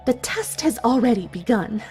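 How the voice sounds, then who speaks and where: Woman as high-voiced, coldly menacing unicorn villainess. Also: lively intonation, over music, lightly acted. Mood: menacing, controlled. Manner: menacing, theatrical, deliberate. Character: cold, vengeful adult female unicorn villain, smug and bitter. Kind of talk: dramatic fan fiction audio reading.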